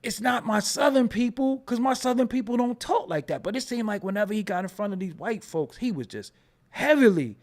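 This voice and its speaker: A man's voice: sits high, lively intonation, brisk. A man with a New York accent giving loose, profane commentary and often asking "you know what I'm saying". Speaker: commentary vlogger. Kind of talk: casual commentary.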